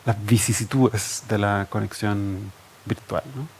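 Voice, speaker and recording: A man moderating an academic seminar, introducing the speakers with many fillers and pauses. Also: fairly low pitch, fairly even intonation, darkish tone, a little hiss or hum.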